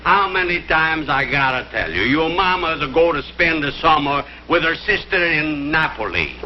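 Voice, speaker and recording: An actor playing a harried Italian papa in a television comedy sketch, with a mock accent and exaggerated broken English. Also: male voice, fairly high pitch, expressive, normal speed, lightly acted. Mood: exasperated. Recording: muffled noisy 1960s TV audio, audience.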